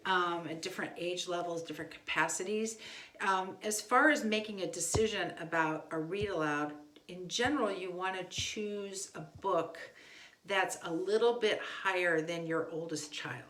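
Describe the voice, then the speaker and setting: Woman with a lowish voice chatting through homeschool tips, roomy echo, animated when giving examples. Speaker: homeschooling parent giving advice. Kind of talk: casual instructional talk. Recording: echoey room.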